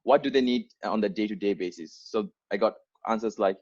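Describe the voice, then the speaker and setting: A man's voice: on the low side, brisk, darkish tone, highs cut off. A young man in his twenties, an English speaker from Bangladesh, earnestly telling the story of founding his organisation over a video call, with many fillers and restarts. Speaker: founder giving an onboarding talk. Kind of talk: unscripted presentation to volunteers.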